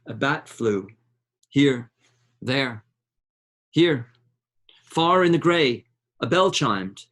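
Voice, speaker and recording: A man reading a literary novel aloud in a measured, dramatic way, with deliberate pauses between short phrases. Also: medium pitch, expressive, fairly bright tone.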